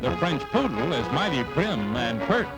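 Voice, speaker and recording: A man's voice: medium pitch, very animated, unhurried, loud music behind the voice, low-quality recording. An American man narrating a scripted 1950s newsreel in a dramatic, punchy announcer style. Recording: vintage 1950s newsreel soundtrack.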